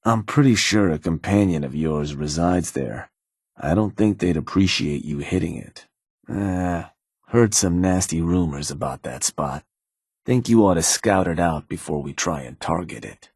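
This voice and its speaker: Game role: a laconic raider lieutenant, very low husky voice, dry studio sound. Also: male voice, lively intonation, unhurried, a little mellow, no room sound, heavily acted. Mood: matter-of-fact. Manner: terse, businesslike, dry. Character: gruff male raider lieutenant, laconic, world-weary. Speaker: game character. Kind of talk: video game voice lines.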